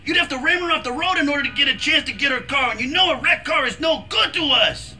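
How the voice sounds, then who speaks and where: A man playing an excitable, high-strung, slangy car thief in a video game, with a melodramatic manner. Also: fast, faint music behind, heavily acted. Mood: exasperated. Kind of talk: video game cutscene acting.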